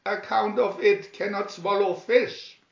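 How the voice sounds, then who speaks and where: A good-natured cartoon sailor, acted out by a man, talks in folksy, emphatic phrases. The voice is raised high and very animated. It sounds slightly muffled in an echoey room. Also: measured pace, creaky. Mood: sympathetic. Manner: folksy mangled grammar, emphatic.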